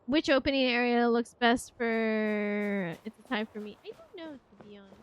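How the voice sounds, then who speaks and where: A woman streamer giving a live game reaction, chatting casually with excitable, gushing exclamations. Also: a little high, normal speed, clear, resonant tone, muffled recording. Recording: game music behind.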